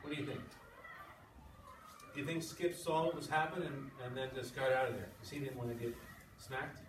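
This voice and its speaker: A man's voice: medium pitch, fairly even intonation, fairly quick. A man teaching English to sixth graders, reading aloud and leading class discussion in an informal way, often checking with his listeners. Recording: echoey, noisy classroom, distant mic.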